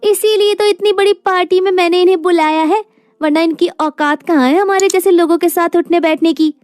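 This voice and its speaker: A woman's voice: extremely high pitch, fairly even intonation, brisk, a little mellow, no room sound, voice pushed up. A woman voicing a haughty, scornful rich sister in a story, with a sneering, boastful manner. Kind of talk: animated moral story dialogue.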